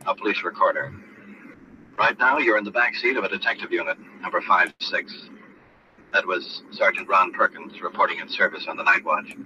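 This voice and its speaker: A man with an American accent narrating a documentary radio program as a police recorder, in terse present-tense reports. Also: low voice. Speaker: police recorder and show host. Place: police car out in the field. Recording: noisy, dull old radio field recording.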